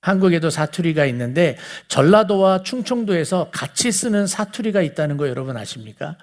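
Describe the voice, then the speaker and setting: A man's voice: on the high side, expressive, fairly quick. A man with a Seoul accent giving a conversational Bible teaching, explaining things and putting questions to his listeners. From South Korea. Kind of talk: conversational sermon lecture to a congregation.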